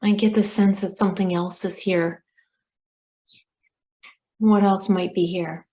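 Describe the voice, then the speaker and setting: A woman life coach speaking slowly and softly on a video call, reflecting back what she hears. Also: medium pitch, fairly even intonation. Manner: slow, soft-spoken, reflective. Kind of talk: gentle coaching session. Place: home room on a video call.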